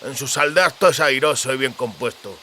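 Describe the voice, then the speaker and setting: A man's voice: medium pitch, brisk, pushed and bright, natural voice. A male reader acts out a gloomy male ruffian from a fantasy audiobook. He sounds sly and insinuating, with a faint noise behind the voice. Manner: lugubrious, insinuating.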